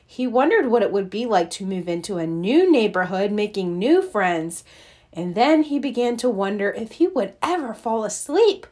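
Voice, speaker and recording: A woman reading a children's storybook aloud as narrator, expressive and deliberate. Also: fairly high pitch.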